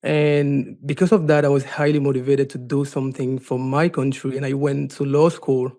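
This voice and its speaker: A man with a Cameroonian accent telling a personal story as an interview guest, haltingly, often dropping in "like". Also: medium pitch, flat delivery, mellow. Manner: halting, frequent "like" as filler. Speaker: podcast guest, life coach and advocate.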